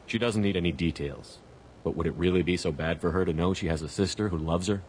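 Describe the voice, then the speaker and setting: A man playing a calm, steady commander in a video game cutscene, gently persuasive. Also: sits low, fast, a little hiss or hum, natural voice. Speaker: game character. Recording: game audio over loud music.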